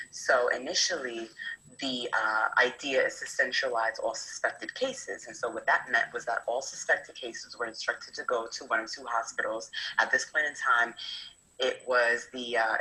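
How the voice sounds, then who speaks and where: A woman's voice: extremely low pitch, bright, forceful tone, low-quality recording. A young adult woman from New Jersey, a medical student intern, methodically explaining a hospital's procedures with frequent fillers. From New Jersey, USA. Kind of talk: prepared talk from experience.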